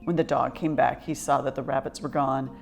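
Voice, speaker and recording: A woman reading a children's picture book aloud in an expressive scripted storytelling style. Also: very deep voice, brisk, vocal fry, soft background music. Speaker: storybook reader.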